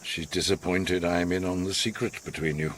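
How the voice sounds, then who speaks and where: An actor playing a manipulative, eccentric older man in a radio drama, measured, formal and theatrically commanding. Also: low-pitched, steady tone, a bit bright, slight background noise. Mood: measured, knowing. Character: manipulative, eccentric, authoritative older male host, a self-styled psychiatrist.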